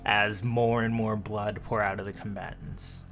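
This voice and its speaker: Male game master, lowish pitch, slow deliberate narration of tabletop horror, music underneath. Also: level tone, a bit bright. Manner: deliberate, descriptive second-person storytelling. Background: clear background music.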